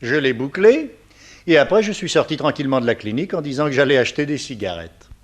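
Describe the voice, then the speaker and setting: Man as cheeky sidekick, mid-pitched voice. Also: expressive, quick pace, lightly acted. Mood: breezy, proud. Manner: chatty, colloquial storytelling. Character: plucky, cheeky detective's sidekick, breezy and slangy. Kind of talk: 1960s French radio serial acting.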